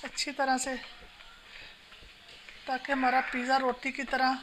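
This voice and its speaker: A woman calmly explaining a home recipe step by step while she cooks. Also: sits high, unhurried. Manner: calm, instructional, talks while working. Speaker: home cooking channel host. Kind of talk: step-by-step cooking instructions. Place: home kitchen. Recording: noisy home phone recording.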